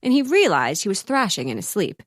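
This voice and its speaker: A woman's voice: mid-range voice, big pitch swings, a little fast, very noisy, natural voice. A woman playing a wry storyteller, giving scripted narration for a comic audio drama.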